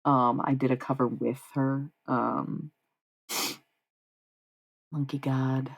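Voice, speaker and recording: A woman's voice: rumbling low, flat delivery, takes it slowly, gravelly, husky, dull audio. A young woman livestreaming, chatting with viewers in a rambling, dreamy way and swearing freely. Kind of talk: casual livestream chat with viewers.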